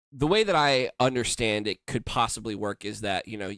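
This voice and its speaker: A man's voice: mid-range voice, creaky, fairly bright tone, dry recording, studio quality. Rambling, swearing podcast co-host, a man. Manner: rambling, swears freely, hedges and restarts. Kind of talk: casual chat.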